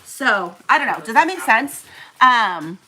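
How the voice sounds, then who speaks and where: A woman's voice: on the high side, very animated, measured pace, bright, forceful tone, low-quality recording. A woman with a New Zealand accent chatting casually on a livestream as a seller and collector group admin, rambling with lots of fillers and restarts.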